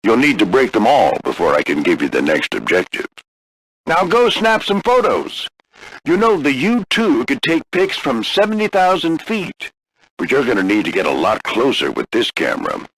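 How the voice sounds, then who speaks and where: An older American man voicing a gruff veteran warplane flight instructor in a video game, husky and folksy, coaching firmly but with encouragement. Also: medium pitch, huge pitch swings, normal speed, a bit bright, no echo, full character voice, poor audio. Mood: stern instructing. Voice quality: gravelly, husky. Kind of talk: scripted video game character lines.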